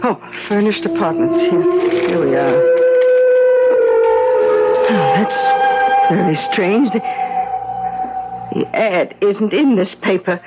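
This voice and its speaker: An actress playing a fretful, sentimental, superstitious friend in a 1970s radio drama, fussy and exclamatory. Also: female voice, medium pitch, wildly swooping pitch, slow, long pauses. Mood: puzzled. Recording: muffled radio broadcast with loud music.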